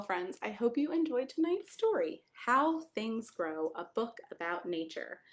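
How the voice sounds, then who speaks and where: A woman reading a picture book aloud to young children, expressively, with friendly asides, questions and exclamations. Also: medium pitch. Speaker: pastor reading a children's story.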